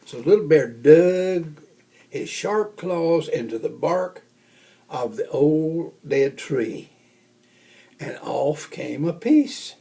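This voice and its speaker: An older man reading a children's story aloud in an expressive storytelling manner. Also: on the high side, slow pace, vocal fry. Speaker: storybook reader.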